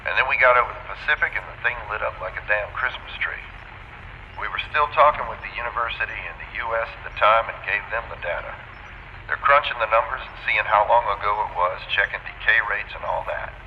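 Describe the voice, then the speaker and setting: Radio-filtered role: male astronaut in orbit, plain-spoken and folksy, lowish pitch, long reverb. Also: fairly quick, lo-fi sound. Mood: frank, resigned. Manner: folksy, frank radio talk. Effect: radio transmission filter. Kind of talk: audio drama acting. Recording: band-limited radio, reverberant, faint noise.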